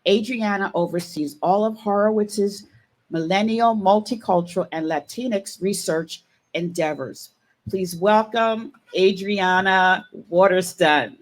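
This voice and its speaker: A woman hosting a live online talk show, reading a long guest introduction in an upbeat, steady way. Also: mid-range voice, measured pace. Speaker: show host.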